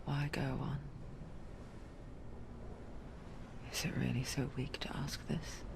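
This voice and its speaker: An actress playing an eerie, knowing spirit who narrates a video game, confiding softly and breathily in measured phrases. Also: female voice, very deep voice, little pitch movement, noisy background. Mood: hushed, sombre. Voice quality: soft, breathy, half-whispered.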